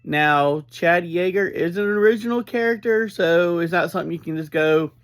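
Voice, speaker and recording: A man giving an informal, unscripted recap as a reviewer, speaking in halting, deliberate phrases with frequent breaks. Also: high-pitched. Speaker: reviewer and host of an episode review series.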